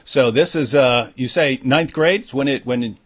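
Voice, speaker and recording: A man hosting an interview, asking questions in relaxed casual talk with many fillers. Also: mid-range voice, a little slow. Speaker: interview host.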